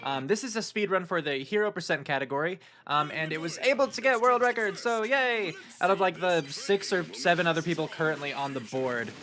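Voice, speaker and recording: A man with a stuffy nose giving relaxed, rambling commentary on his speedrun, with lots of ums. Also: fairly high pitch, fairly quick, few pauses. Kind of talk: casual post-run gameplay commentary.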